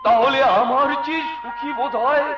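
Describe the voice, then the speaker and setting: An actor playing a proud, guilt-torn older aristocrat, halting and declamatory in Bengali folk theatre melodrama. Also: male voice, very high-pitched, fairly even intonation. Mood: troubled, proud. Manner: halting, declamatory, wailing repetitions. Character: proud, anguished upper-class grandfather torn by guilt. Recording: echoey, dull stage recording, loud music.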